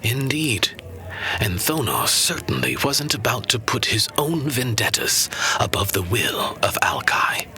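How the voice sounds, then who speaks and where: An actor playing a solemn storyteller who recounts an ancient fantasy legend in a breathy, hushed voice. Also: male voice, mid-range voice, big pitch swings, unhurried, soft background music. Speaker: narrator.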